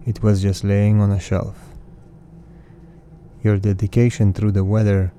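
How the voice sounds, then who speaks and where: A man in his thirties whose first language is Russian, hosting a podcast and reading his own lyrics in an earnest, measured recitation. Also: lowish voice, little pitch movement, warm tone, slight background noise. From Russian-speaking background. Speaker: podcast host. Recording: faint music bed behind voice.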